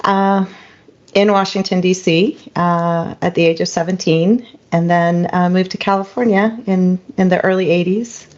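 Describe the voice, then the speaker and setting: A middle-aged woman from Spain, French her first language, speaks in a low, unhurried voice. She is a singer-songwriter guest who reflects calmly with plenty of ums and you-knows. The sound is dull and lo-fi. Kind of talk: casual interview chat. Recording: dull lo-fi recording.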